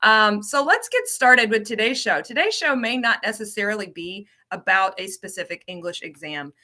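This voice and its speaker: Woman, from the United States, ESL coordinator, chatty, repeats words, bright tense voice. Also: medium pitch, animated, moderate pace. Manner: bubbly, repeats words for emphasis, greets viewers. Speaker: ESL program coordinator hosting a livestream. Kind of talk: casual livestream lesson.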